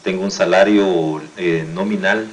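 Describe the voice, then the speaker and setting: A man in his fifties with a Guatemalan accent, speaking formally and deliberately as a union spokesman in an interview. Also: deep voice, steady tone, slight background noise. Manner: formal, deliberate, legalistic wording. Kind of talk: political commentary in an interview.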